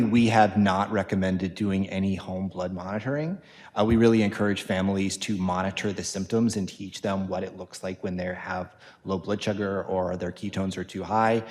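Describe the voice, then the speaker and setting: A man's voice: on the low side, fairly even intonation, normal speed, a little mellow. A man who is a physician on an expert panel, answering questions thoughtfully with frequent ums and uhs. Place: conference hall. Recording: long hall reverb.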